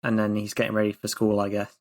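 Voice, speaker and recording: A man's voice: lowish voice, monotone, fast talker. A man playing a tabletop game who talks in a wry, joking way. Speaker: player. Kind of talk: casual tabletop roleplay.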